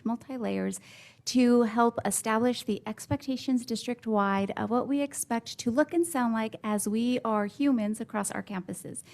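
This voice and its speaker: A woman giving a lively, casual staff report at a school board meeting, with fillers like "um" and "you know". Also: a little high. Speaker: district staff presenter.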